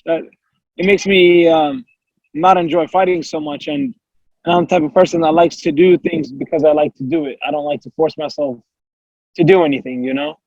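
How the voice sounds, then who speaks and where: High-voiced young man, dry dull sound. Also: fairly even intonation, moderate pace, a little mellow, dry recording. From United States. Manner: earnest, talks freely in long runs with fillers and self-quotes. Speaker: professional MMA fighter, guest. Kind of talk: casual interview answers.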